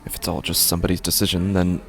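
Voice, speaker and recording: An actor playing an anxious, cynical, philosophizing man in a horror audio drama, with a brooding, halting delivery full of questions and pauses. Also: low voice, level tone, vocal fry. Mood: weary, cynical. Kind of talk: first-person dramatic monologue, horror audio fiction.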